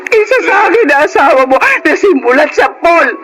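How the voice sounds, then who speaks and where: A woman's voice: high voice, big pitch swings, brisk, a bit bright, soft background music. A woman with a Filipino accent acting a long-suffering, betrayed wife in an emotional Tagalog radio drama. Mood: anguished, accusing. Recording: noisy radio drama recording.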